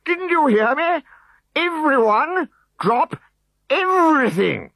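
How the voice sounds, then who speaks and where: A short-tempered storybook village chief shouts his orders in a high, ringing voice that leaps up and down. He is bossy and easily worked up. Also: animated, a little slow, no room sound, clean studio sound, heavily acted. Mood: indignant. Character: bossy, excitable male Gaulish village chief.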